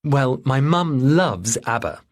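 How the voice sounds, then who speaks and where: A man's voice: medium pitch, big pitch swings, takes it slowly, pitched above the performer's own voice. A man voicing a polite, nervous young girl musician in an audiobook, with careful answers. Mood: stiff, rehearsed.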